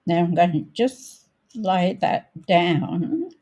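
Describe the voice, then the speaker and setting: Woman, croaky voice, very slow pace, dark mellow tone, casual craft host, thinking aloud. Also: on the low side, lively intonation. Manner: unhurried, thinking aloud while working. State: croaky, coughing and throat-clearing. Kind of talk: casual live crafting demonstration.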